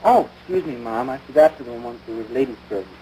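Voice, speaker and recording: An actor playing an earnest, dim-witted American high school boy in a domestic comedy, mixing slang with lofty words. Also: lively intonation, fast, dark tone, lightly acted. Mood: earnest, matter-of-fact. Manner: earnest slangy talk mixed with lofty wording. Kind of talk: domestic radio-style situation comedy. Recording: noisy vintage broadcast recording.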